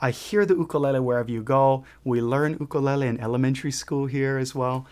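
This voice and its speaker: A man's voice: medium pitch, fairly even intonation, fast talker, a little mellow, clear, resonant tone. A middle-aged man from Hawaii, answering interview questions in an earnest way, with many restarts and fillers. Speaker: guest, ukulele shop owner. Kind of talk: conversational interview.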